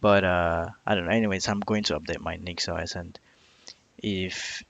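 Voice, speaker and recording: Low, gravelly man thinking aloud on stream. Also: fairly even intonation, fairly bright tone. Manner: halting, muttering to himself, repeats phrases. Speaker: live streamer learning software. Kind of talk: informal live coding stream, thinking aloud.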